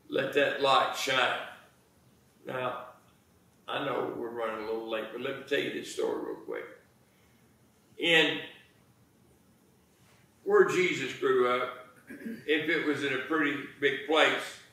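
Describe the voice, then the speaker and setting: An American man in his sixties preaching a sermon in a folksy, deliberate manner, pausing between phrases. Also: on the high side, a bit bright. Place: church sanctuary, indoors. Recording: very echoey church, distant microphone.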